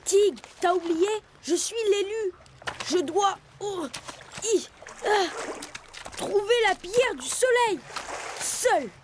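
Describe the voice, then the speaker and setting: A woman's voice: extremely high pitch, lively intonation, slow, long pauses, exaggerated voice. A woman voicing a brave, stubborn young male leopard cub in a children's cartoon dub, talking in an earnest, determined way. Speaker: dubbing voice actor. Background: music.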